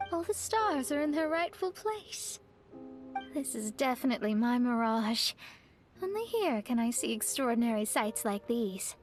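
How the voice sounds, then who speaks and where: Game role: young female astrologist, high voice, earnest and wistful, reflective pacing, faint music underneath. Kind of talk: scripted game voice acting.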